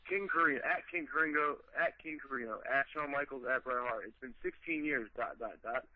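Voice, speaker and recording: A man's voice: medium pitch, brisk, no room sound. Needling male co-host, slightly dull radio sound. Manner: needling, foul-mouthed. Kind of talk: casual banter.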